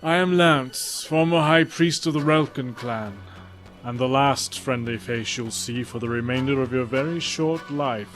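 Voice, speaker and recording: A man playing a sardonic, coolly menacing former high priest in an audio drama, with ominous, theatrical phrasing. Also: unhurried, fairly bright tone, faint music behind, close to an everyday voice.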